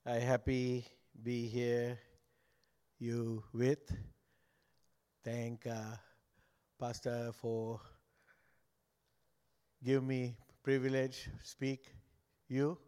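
A man's voice: mid-range voice, little pitch movement, very slow, pauses often, mellow, clear, resonant tone, long reverb tail. An older man from Sri Lanka, speaking English as a second language, preaching as a guest pastor in a joking, conversational way. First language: not English. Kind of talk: sermon.

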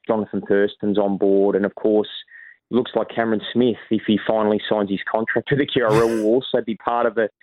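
A man's voice: fairly low pitch, level tone, brisk, no echo. An Australian man talking casually as a podcast guest, with frequent restarts and self-corrections. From New South Wales, Australia. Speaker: rugby league journalist guest. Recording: dry, phone-like narrow sound.

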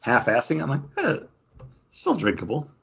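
A man's voice: mid-range voice, wildly swooping pitch, normal speed, gravelly, fairly bright tone, breathy edge, muffled recording. A man co-hosting a podcast in loose, casual conversation. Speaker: podcast co-host. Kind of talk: casual chat.

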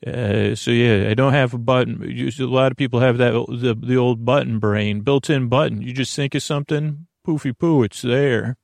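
A man with a creaky voice hosting a bedtime podcast, meandering and halting as he stammers and circles back on his words. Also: medium pitch, fairly even intonation, darkish tone, no echo, studio quality. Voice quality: creaky, gravelly. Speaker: podcast host. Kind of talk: rambling bedtime podcast monologue to help listeners sleep.

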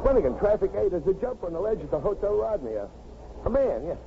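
A man's voice: high voice, big pitch swings, quick pace, creaky, heavily acted. A man playing an urgent traffic cop making a report in a 1950s radio drama. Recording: muffled, hissy 1950s radio recording.

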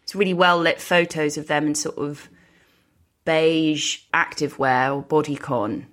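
A woman's voice: sits low, fairly even intonation, unhurried, fairly bright tone. A woman co-hosting a podcast in casual chat, musing aloud and repeating phrases for emphasis. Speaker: podcast co-host.

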